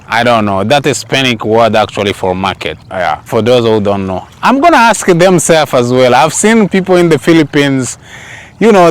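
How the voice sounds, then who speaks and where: A man's voice: mid-range voice, smooth, no echo. A man who is a native Swahili speaker talks casually as a travel vlogger, rambling and repeating phrases for emphasis. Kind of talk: casual vlog talk.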